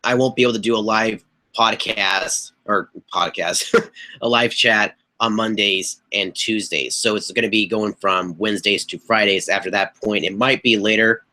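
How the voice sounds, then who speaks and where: A young man hosting a casual live chat on a webcam, hoarse and stuffy from a cold, rambling with fillers and clearing his throat. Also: fairly low pitch, fairly even intonation, normal speed, pushed and bright, rough recording. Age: young adult. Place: small bedroom with posters on the walls. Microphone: webcam mic at desk distance.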